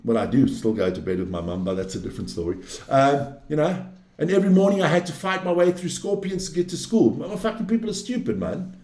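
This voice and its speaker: Male livestream commentator, about fifty. High voice, opinionated and sweary, casual delivery, echoey room sound. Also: sing-song, fast, darkish tone. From South Africa, later Switzerland. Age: around 50. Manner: blunt, opinionated ranting with frequent swearing and "man" tags. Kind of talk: casual off-the-cuff livestream talk with some race commentary. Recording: roomy, echoing room sound.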